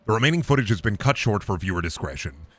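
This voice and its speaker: A man's voice: lowish voice, sing-song, quick pace, a bit bright. A man who streams games, reading found-footage captions aloud in the style of a narrator.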